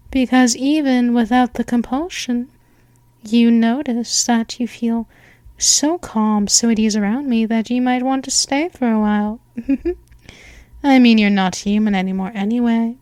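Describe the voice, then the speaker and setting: A woman playing a possessive female vampire mistress, speaking softly and hypnotically with drifting whispers, close to the mic. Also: a little high, dry recording, close to an everyday voice. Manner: coaxing, repetitive, counts down. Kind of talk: ASMR hypnosis roleplay.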